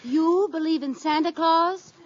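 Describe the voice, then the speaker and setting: A woman's voice: very high-pitched, unhurried, muffled recording. A woman playing an eager, scheming, wisecracking housewife in an exclamatory 1940s radio comedy style. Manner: animated, exclamatory. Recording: scratchy old radio show, studio audience.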